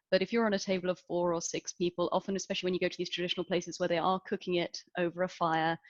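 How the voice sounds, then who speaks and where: A woman with a lowish voice talks casually as a panel guest, trailing through fillers and restarts. The sound is dry and close, with a dull, lo-fi edge from a video call. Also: no room sound. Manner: chatty, many fillers and restarts. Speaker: panelist living in Valencia.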